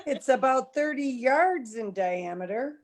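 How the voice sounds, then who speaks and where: A woman acts out a tart female astronomer in a comic radio play. Her high voice swings expressively. She delivers dry, sardonic, snippy retorts with an edge of indignation. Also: expressive, unhurried. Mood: tart, indignant.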